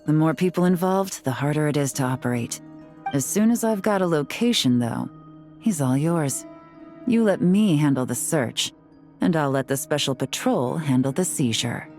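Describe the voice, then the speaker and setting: A woman's voice: low-pitched, animated, normal speed, darkish tone, soft background music. A woman playing a cool, self-assured covert investigator in a video game cutscene, confident and matter-of-fact.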